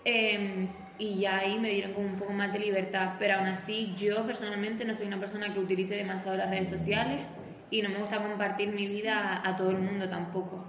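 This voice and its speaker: A young woman answers calmly and briefly, her voice flat and even. A long, echoey hall reverb surrounds her, with faint background noise. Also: medium pitch. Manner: brief, measured replies. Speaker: young guest. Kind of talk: debate answers.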